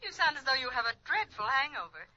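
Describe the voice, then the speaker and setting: An actress playing a bossy, chattering young socialite fiancée in an old-time radio drama, cooing endearments and insistently talking over others. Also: female voice, high voice, big pitch swings, fast talker, very noisy. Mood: playful. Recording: dull old radio recording.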